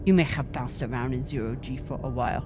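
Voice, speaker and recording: A woman's voice: a bass voice, quick pace, music in the background. A woman playing a hardened, bitter space-crew leader in a video game, with a terse, grim delivery. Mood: firm, insistent. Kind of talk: dramatic video game acting.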